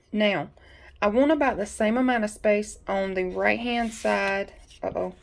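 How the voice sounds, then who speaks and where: A woman with a gravelly, creaky edge to her voice talks viewers through a craft project at her home desk. She sounds a little congested from allergies, and keeps up an easygoing, folksy patter as she works. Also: medium pitch. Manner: chatty, folksy, talks to viewers while working. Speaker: craft tutorial host. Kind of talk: casual step-by-step craft tutorial.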